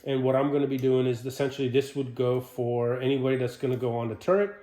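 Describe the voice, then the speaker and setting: A man giving an informal, unscripted equipment demonstration, explaining in a halting way and putting questions to the viewer. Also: mid-range voice, fairly even intonation, mellow. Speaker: presenter of a military radio equipment walkthrough. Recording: roomy, echoing sound.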